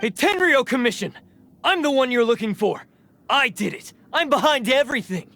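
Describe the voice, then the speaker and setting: A man's voice: extremely high pitch, expressive, moderate pace, bright, forceful tone, heavily acted. A man playing a proud, defiant young oni in a video game, earnest and formal, with a dramatic delivery. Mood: anguished resolve. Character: proud, tormented young male oni, defiant and self-sacrificing. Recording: game music behind. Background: game music.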